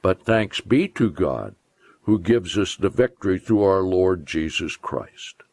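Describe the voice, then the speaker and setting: A man reading scripture aloud as a preacher, in a solemn, deliberate and emphatic declamatory way. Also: deep voice, lively intonation, a little mellow, no echo, clean studio sound.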